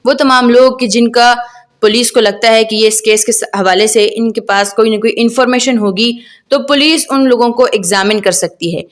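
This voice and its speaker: A woman with a Pakistani accent gives an explanatory law lecture in a fairly high voice. She teaches by putting questions and answering them herself, and slips English legal terms into her sentences. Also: moderate pace.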